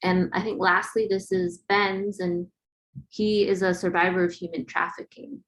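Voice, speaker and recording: A woman informally presenting her photography project at an online webinar, talking in a casual, halting way. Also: fairly low pitch, level tone, a bit bright.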